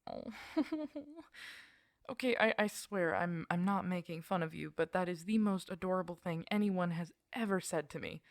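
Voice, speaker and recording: A woman playing an affectionate, gently teasing girlfriend in an intimate sleep-aid roleplay, murmuring softly and coaxingly to the listener, close to the microphone. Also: fairly low pitch, lively intonation, normal speed, no room sound. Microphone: close microphone, dry sound.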